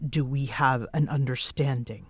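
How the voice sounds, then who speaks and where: A woman playing a prickly, guarded young roommate in an intimate roleplay audio drama, curt, halting and defensive in manner. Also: rumbling low, fairly even intonation, breathy edge, heavily acted. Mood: stern, guarded.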